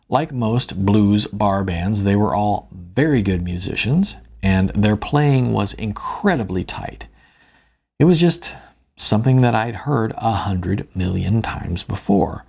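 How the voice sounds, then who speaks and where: A man's voice: lowish voice, vocal fry, a little mellow, breathy edge. A man reading his own novel aloud in a wry, conversational storytelling manner. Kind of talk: audiobook narration with spoken asides.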